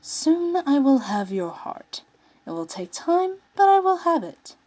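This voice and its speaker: Smug, possessive sci-fi prince, played by a woman: mocking, courtly, drawn-out condescension, raised pitch. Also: very animated, darkish tone. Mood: condescending.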